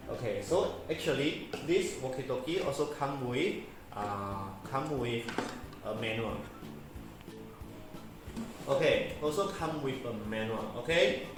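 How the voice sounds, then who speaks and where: Echoey parent presenting a toy over loud music. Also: medium pitch. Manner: repeats words and phrases, simple instructive phrasing. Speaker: parent and vlog host presenting a toy review. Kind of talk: casual toy unboxing with simple teaching questions to a child.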